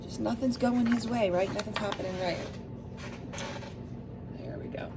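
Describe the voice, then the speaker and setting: Woman reading to kids over clear noise. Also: mid-range voice, moderate pace. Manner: expressive, addressing children directly with questions. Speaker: read-aloud storyteller for young children. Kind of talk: reading a picture book aloud with asides to children.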